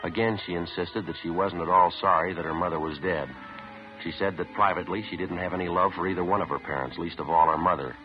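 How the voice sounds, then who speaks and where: An actor playing a calm, businesslike police detective in a 1950s radio drama, deadpan and matter-of-fact. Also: male voice, low voice, quick pace, background noise, natural voice. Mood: flat narrating. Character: calm, businesslike male police detective, narrating his case. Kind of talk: 1950s radio drama acting, clipped procedural delivery. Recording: muffled 1950s radio recording with music.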